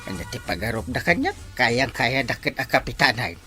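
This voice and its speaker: A man with an Ilocano accent playing an excitable, scheming village captain in a comedy drama. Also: medium pitch, brisk, over music, close to an everyday voice. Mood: suspicious.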